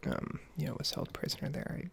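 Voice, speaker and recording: A man giving a casual, soft-spoken ASMR ramble over gameplay, hesitant and understated as he meanders from thought to thought. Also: fast talker. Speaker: gaming video creator. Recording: faint background noise, keyboard typing, clicking. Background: soft computer keyboard typing and mouse clicks.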